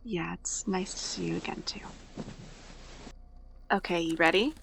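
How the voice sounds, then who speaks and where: A woman's voice: on the low side, measured pace, creaky, fairly bright tone, a little hiss or hum. A woman acting in an audio drama as a sardonic young woman, blunt and teasing but fond underneath. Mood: fond, softened. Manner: dry, blunt, teasing sarcasm. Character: sardonic young woman, hurt but fondly teasing older-sister type.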